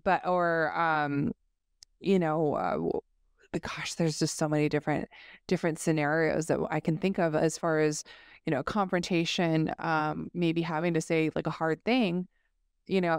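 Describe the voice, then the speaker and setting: A woman with a lower voice co-hosts a church women's study talk. She rambles warmly through her thoughts, full of restarts and fillers. Her voice comes through very clear and dry, close to the mic. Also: fairly even intonation, moderate pace, no room sound. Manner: rambling, many fillers and restarts, laughs easily. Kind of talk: casual faith discussion.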